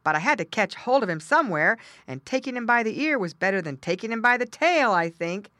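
Woman as a loud, barking storybook guard dog. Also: a little high, expressive, brisk, dry recording, studio quality, voice pushed up. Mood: firm, explaining. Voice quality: barking, growling. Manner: firm, loud, scolding. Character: big stern but polite male farm dog. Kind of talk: children's storybook character voice.

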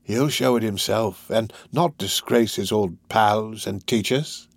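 A man plays a crafty old master of thieves from a storybook. He coaxes and wheedles, stretching his words out, and can turn sharp-tempered. His pitch swoops about in a close, dry recording. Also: animated, a little slow, vocal fry, dry recording. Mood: soothing, coaxing. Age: older. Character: wily old male criminal gang master, wheedling, quick-tempered.

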